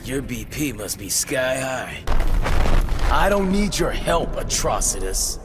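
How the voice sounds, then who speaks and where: A man's voice: mid-range voice, big pitch swings, slow pace, noisy background. A young man playing a cocky, quippy fire-powered superhero in a video game. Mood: defiant. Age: young adult. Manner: sarcastic, quippy. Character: cocky young fiery superhero, wisecracking and brash. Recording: game audio under loud music.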